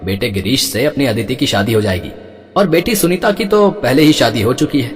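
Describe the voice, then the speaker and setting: Casting: a man as reassuring, persuasive husband and father in middle age, mid-pitched, story recording with hall reverb. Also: expressive, brisk, faint music behind, lightly acted. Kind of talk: audio storybook acting.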